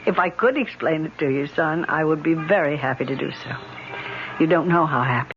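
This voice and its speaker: Glum, low-voiced elegant lady. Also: fairly quick, loud music behind the voice. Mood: weary, sad. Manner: reserved, hesitant, refined. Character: glum, elegant, wealthy middle-aged lady. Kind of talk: 1970s radio drama acting. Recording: muffled old radio broadcast, slight noise.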